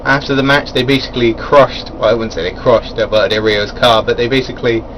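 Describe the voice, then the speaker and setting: A man's voice: medium pitch, steady tone, fast, fairly bright tone, a little hiss or hum, poor audio. Rambling man reviewing wrestling. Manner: rambling, filler-heavy, chuckles at his own asides. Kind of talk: casual spoken event review.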